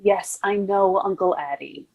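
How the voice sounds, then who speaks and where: A woman's voice: mid-range voice, unhurried, creaky, warm tone, poor audio. A woman playing a spoiled, gushing, money-hungry niece in a read-through of a stage musical. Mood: wheedling, impatient. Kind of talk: musical table read, scripted dialogue. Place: recorded online.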